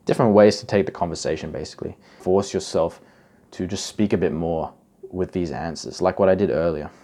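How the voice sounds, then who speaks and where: A young man from Indonesia talks to camera in a loose, chatty way. His voice sits on the low side, and he keeps leaning on "like" and "right?" while he explains. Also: mellow. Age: young adult. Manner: chatty, many fillers like "like" and "right?". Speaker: self-improvement YouTuber. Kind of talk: casual advice talk to camera.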